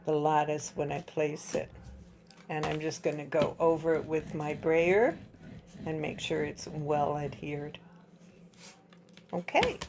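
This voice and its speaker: Husky low-voiced woman teaching a craft. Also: background noise. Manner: chatty, explains while working with her hands. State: slightly hoarse from dry air. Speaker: craft tutorial host. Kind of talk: relaxed step-by-step craft tutorial.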